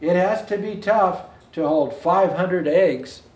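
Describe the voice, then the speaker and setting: Older man, high voice, children's storybook reading, expressive deliberate phrasing, roomy echo. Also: distant mic. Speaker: Santa Claus storyteller. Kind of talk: read-aloud children's picture book. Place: indoor room with Christmas decor.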